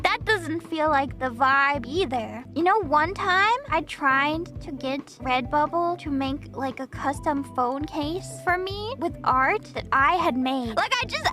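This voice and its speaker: A young adult woman chatting casually with her viewers on a stream, rambling and giggly, full of "like". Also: high-pitched.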